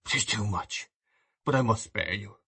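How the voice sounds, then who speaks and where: A man's voice: lowish voice, unhurried, creaky, pushed and bright, husky, pitched below the performer's own voice, heavily acted. A man reading an audiobook voices an enraged, grief-stricken husband in a hard, accusing tone. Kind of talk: audiobook reading of a classic novel.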